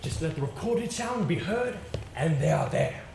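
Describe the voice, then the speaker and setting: A man's voice: fairly high pitch, big pitch swings, normal speed, creaky, a little mellow. Man playing a showy, swooping theatrical coach, echoey stage. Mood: grand, showy. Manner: pompous, emphatic, commanding, showman-like. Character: brisk theatrical male actor coaching a nervous client. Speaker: amateur stage actor. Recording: roomy, noisy live stage recording.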